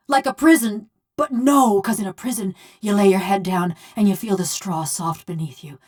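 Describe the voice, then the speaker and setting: A woman's voice: medium pitch, natural voice. An actress playing a bitter, vengeful female killer trapped in a metal body, speaking folksy rustic game dialogue in a wry, brooding way. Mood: anguished. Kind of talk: scripted video game character dialogue.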